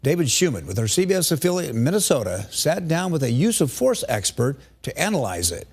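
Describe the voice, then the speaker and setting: A man's voice: fairly high pitch, sing-song, fairly quick, a little mellow, breathy edge. An American man reading the news as a television anchor.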